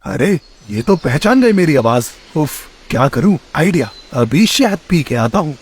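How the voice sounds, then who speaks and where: Man voicing a sly cartoon tomcat, drawling slowly. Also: on the high side, very animated, husky, exaggerated voice. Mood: exasperated. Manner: theatrical, drawn-out scheming delivery. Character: sly, greedy villain tomcat, scheming and exasperated. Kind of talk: children's cartoon story acting.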